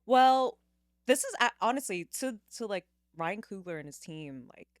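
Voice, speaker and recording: A woman's voice: mid-range voice, animated, normal speed, no echo, clean studio sound. A woman guest on a casual podcast, telling stories and laughing often. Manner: chatty storyteller, laughs often. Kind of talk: casual chat.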